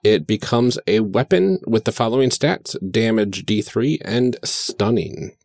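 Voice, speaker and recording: A man's voice: fairly low pitch, lively intonation, a little slow, darkish tone, dry recording. A man playing a tabletop game for the first time, talking hesitantly with many fillers as he builds his character. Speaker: player. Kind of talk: casual tabletop chat.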